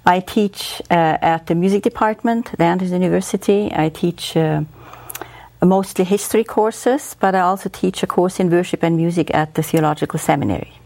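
A woman's voice: fairly low pitch, moderate pace, a little mellow. A woman from Switzerland whose first language is German, a music professor being interviewed on the radio, speaking hesitantly with many filler sounds.

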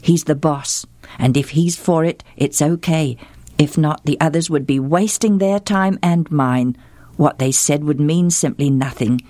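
A woman voicing a weathered older chieftain in plain, steady speech. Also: low voice, measured pace, no echo. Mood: matter-of-fact. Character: weathered older male warrior chieftain, gruff.

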